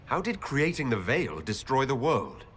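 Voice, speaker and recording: A man voicing a serious, thoughtful male elven leader in a video game, with an earnest, deliberate, probing manner. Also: mid-range voice, big pitch swings, fairly bright tone, a little hiss or hum. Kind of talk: dramatic video game dialogue.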